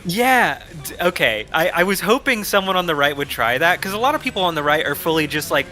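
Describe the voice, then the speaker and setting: A man's voice: on the high side, big pitch swings, a little fast, pushed and bright, faint music behind. A man casually chatting on his gameplay stream, wry and laughing, reading viewer comments aloud. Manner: wry, reads chat messages aloud.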